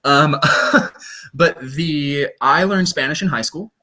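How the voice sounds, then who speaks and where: A young man from Colorado, a stage performer, chatting casually in an interview with lively, self-deprecating asides. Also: fairly high pitch, slow pace. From Colorado, USA. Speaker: guest performer.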